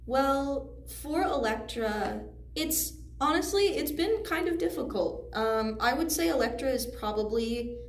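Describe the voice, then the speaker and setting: A woman's voice: sits high, expressive, measured pace. A teenage girl, a student actor, giving earnest, reflective answers in a casual interview, often hedging as she goes. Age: teens, high school student. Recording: roomy echo, faint background noise.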